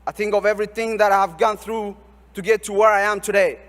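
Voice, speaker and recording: A young man giving an earnest, measured commencement speech as a student speaker in a large ceremony hall. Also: high-pitched, steady tone, a bit bright, soft background music. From Oromia, Ethiopia. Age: young adult. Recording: long hall reverb.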